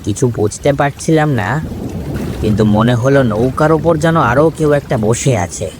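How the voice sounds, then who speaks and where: A man's voice: medium pitch, moderate pace, lightly acted. A man playing a superstitious, uneasy villager who shares rumours in a confiding, colloquial way in an audio story. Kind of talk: audio story dialogue acting. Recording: clear rain and water noise.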